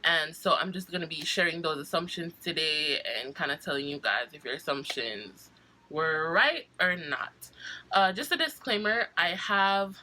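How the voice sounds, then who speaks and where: A woman's voice: sits low, normal speed, pushed and bright, slight background noise, poor audio. Stuffy-nosed woman vlogging. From Jamaica. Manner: chatty, laughs often, hesitant restarts. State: stuffy from a cold. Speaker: nursing student vlogger. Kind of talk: casual chat to camera.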